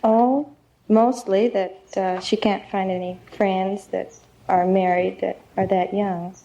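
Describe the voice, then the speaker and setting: An eighteen-year-old woman contestant on an old radio quiz show, giving brief, reticent answers in a soft voice. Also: mid-range voice, steady tone, slow. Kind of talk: short answers to interview questions. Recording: vintage radio broadcast.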